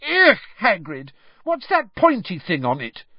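A man's voice: high-pitched, huge pitch swings, measured pace, husky, no room sound, highs cut off, pitched above the performer's own voice, exaggerated voice. A man voicing a squeamish teenage schoolgirl in a disgusted squeal in an audiobook.

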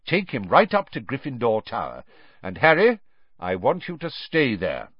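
Male reader playing old headmaster, commanding, sharp, firm, measured, expressive pitch, audiobook. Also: husky, no room sound, muffled recording.

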